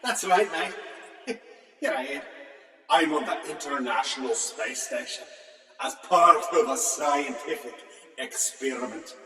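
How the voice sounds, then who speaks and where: A man's voice: on the high side, expressive, a little slow, long pauses, sharp tone, echoey room, in a large hall, far from the mic. A man voicing a boastful one-eyed Irish astronaut puppet on a space station, with an Irish accent and a rollicking storyteller lilt.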